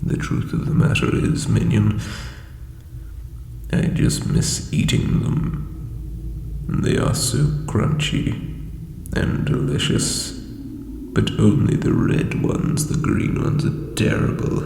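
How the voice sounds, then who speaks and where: A man playing an ancient, insecure undead lich king in a comedic audio roleplay, with a breathy croak and hesitant, pompous phrasing. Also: fairly low pitch, wildly swooping pitch, slow, pauses often, a little mellow, exaggerated voice. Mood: sheepish, explaining. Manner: hesitant, self-doubting, theatrical formal phrasing. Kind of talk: scripted comedic audio roleplay addressed to listener. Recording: reverberant echoey hall, faint background noise.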